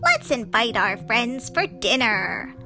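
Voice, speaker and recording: A woman puts on a bossy big witch in a storybook who booms out her plans for a stew. The voice sits fairly high and moves slowly. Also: wildly swooping pitch, over loud music, full character voice. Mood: pleased. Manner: booming.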